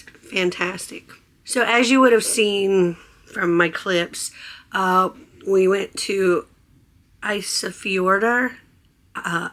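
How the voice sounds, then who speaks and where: A hoarse woman who has nearly lost her voice, chatting in a casual, gushing vlog aboard a cruise ship. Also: medium pitch, fairly bright tone. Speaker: vlogger. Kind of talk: casual travel vlog chat.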